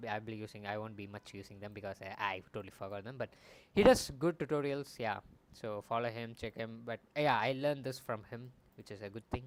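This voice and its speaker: A man's voice: mid-range voice, darkish tone, dry recording. A young man casually streaming as he builds a game, rambling and correcting himself as he thinks aloud at a desk mic. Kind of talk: casual live coding commentary.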